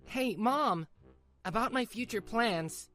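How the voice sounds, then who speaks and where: A woman's voice: on the high side, expressive, slow pace, fairly bright tone, faint music behind. A woman voicing a blunt, exasperated seventeen-year-old boy in a manga dub. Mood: indignant.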